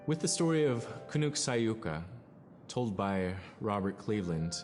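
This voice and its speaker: A low male voice in a long reverb, with music playing behind. He speaks as a storyteller in a documentary interview, choosing phrases thoughtfully with short pauses. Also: sing-song, darkish tone.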